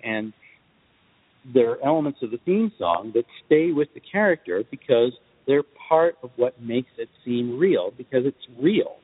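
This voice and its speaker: Deliberate man on a muffled, reverberant line. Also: medium pitch, lively intonation, slightly rough. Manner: measured, deliberate, short halting phrases. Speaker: interview guest, composer. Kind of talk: thoughtful interview answers.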